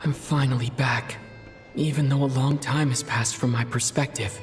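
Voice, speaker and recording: Calm, reserved male game hero, voiced by an actor. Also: medium pitch, moderate pace, close to an everyday voice. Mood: introspective. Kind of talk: video game dialogue acting. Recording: studio voice under clear game music. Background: background music.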